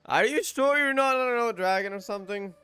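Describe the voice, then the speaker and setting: A man's voice: extremely high pitch, fairly quick, bright, forceful tone, clear, resonant tone, soft background music. A man casually talking over gameplay, chatty and prone to blurting suspicious remarks and quips. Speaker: gaming reaction host.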